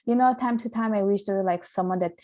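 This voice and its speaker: A woman's voice: mid-range voice, fast, dark tone. A young woman from Italy who is a podcast guest, telling her life story in an earnest, reflective way with frequent restarts. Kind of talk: casual interview storytelling.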